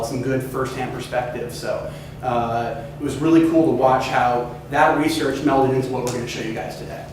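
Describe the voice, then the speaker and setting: A young man from California giving a casual, upbeat class presentation pitch, full of hedging fillers. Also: background noise. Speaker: student presenter. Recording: echoey room from far off.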